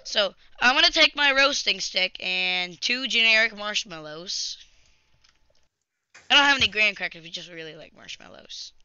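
A young gamer hosting a casual video, chatting and improvising a story in a halting, rambling way. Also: mid-range voice, lively intonation, bright, forceful tone, clear, resonant tone, dry recording. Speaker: video host and player. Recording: dull lo-fi webcam-grade audio.

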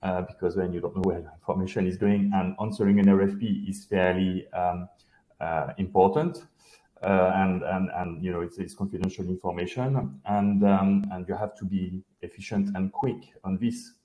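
A middle-aged Frenchman explains his career over a video call, speaking through a headset with a French accent. His voice sits a little low and sounds mellow and dark. The room audio is noticeably echoey, and he stops and restarts often. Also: fairly even intonation, normal speed. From France. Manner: explanatory, many hesitations and restarts. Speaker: guest, company founder. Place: indoor home office.

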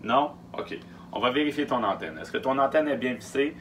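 A man with a Quebec French accent explains practical tips in an informal, insistent way. He pauses to search for words and repeats key ones for emphasis. His pitch is medium, and a faint hum sits behind him in a small storage space. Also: animated, fairly bright tone. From Quebec, Canada. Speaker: film production logistics technician giving tips. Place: small wooden-lined storage truck interior. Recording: faint hum and background noise.

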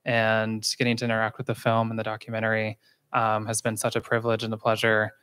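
A man telling a story in a relaxed, measured way on a panel, speaking into a handheld mic on a small stage. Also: lowish voice, smooth. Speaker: panel guest, impact producer. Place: small stage before a live audience.

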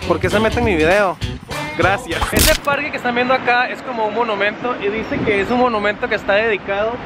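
Brief: young male travel vlogger, raised talking pitch, chatty and direct to camera, handheld camera, loud music underneath. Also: brisk. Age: young adult. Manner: chatty, addresses viewers directly. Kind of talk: casual travel vlog. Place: outdoors in a busy city, streets and metro. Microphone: handheld camera at arm's length.

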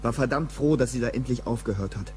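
A man's voice: medium pitch, fast, a little mellow, a little hiss or hum, lightly acted. An actor playing a weary, plain-spoken man, a reluctant witness in a crime radio play, speaking in clipped colloquial phrases. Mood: weary, troubled.

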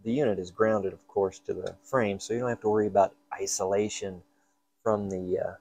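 Male hobby repair host: lowish, soft-edged mellow voice, informal, talking to himself at the workbench. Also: fairly even intonation, brisk. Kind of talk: casual hands-on repair narration. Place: indoor workshop at a workbench.

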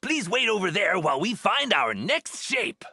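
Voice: medium pitch, big pitch swings, normal speed, creaky, bright, forceful tone, husky, heavily acted. An actor playing a cheerful cartoon star who hosts a children's game show in a showy, encouraging way. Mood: upbeat, encouraging. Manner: showy, encouraging announcer delivery. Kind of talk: children's educational cartoon acting. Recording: music behind.

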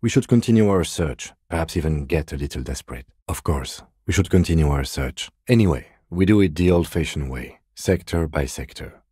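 A man acting a reserved, dutiful police detective in a video game, speaking in a measured, formal, deadpan way with dry courtesy. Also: a bass voice, breathy edge, dry recording, heavily acted. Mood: cautious, quietly insistent. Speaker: voice actor.

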